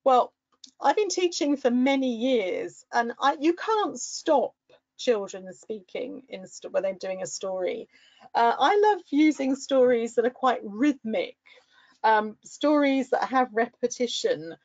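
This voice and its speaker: A woman with a fairly high voice, middle-aged, talks teachers through classroom phrases in an encouraging, lively way. The sound is dry and a bit dull, recorded close with no room. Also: a little slow, no room sound. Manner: warm encouraging, with lively exclamations when quoting pupils. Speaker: English teacher trainer. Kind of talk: teacher training talk.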